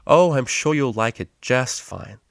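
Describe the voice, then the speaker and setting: A man's voice: mid-range voice, lively intonation, unhurried, creaky, no echo, clean studio sound, voice pushed up. Man putting on an exuberant, lifted-voice pony tutor. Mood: playfully chiding. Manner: boisterous, jovial, encouraging. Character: exuberant, cheery male pony psychology tutor.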